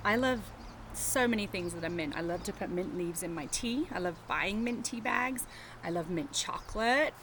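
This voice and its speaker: A woman teacher doing an animated read-aloud of a picture book for young children, chatting and asking them questions as she goes. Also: fairly low pitch, normal speed, slight background noise. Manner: engaging the children with questions and tag questions.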